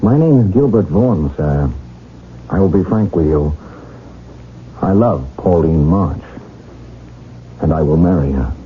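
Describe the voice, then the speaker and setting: An actor playing an earnest, lovestruck young gentleman in a radio drama, speaking formally and ardently. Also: sits low, expressive, measured pace, pauses often, a little hiss or hum. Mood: earnest, determined. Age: young adult. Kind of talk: radio drama acting with first-person narration. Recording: muffled vintage radio recording, music behind.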